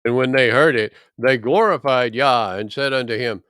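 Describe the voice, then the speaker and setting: A man reading scripture aloud in a solemn, measured and emphatic way. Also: medium pitch, a bit bright, smooth, no echo, studio quality. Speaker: scripture reader.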